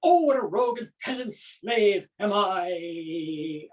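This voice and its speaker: A woman's voice: mid-range voice, expressive, slow pace, darkish tone, distant mic. A woman playing a flustered accountant stranded onstage as a Shakespearean actor, rambling nervously in a theatrical monologue. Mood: grasping, reciting. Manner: rambling, nervous, stammering asides.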